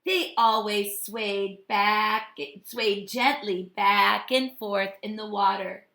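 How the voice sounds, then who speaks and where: A woman teacher giving a read-aloud lesson to young children, speaking in a deliberate, instructive way. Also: mid-range voice, steady tone, creaky, sharp tone. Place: home kitchen. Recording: echoey domestic room.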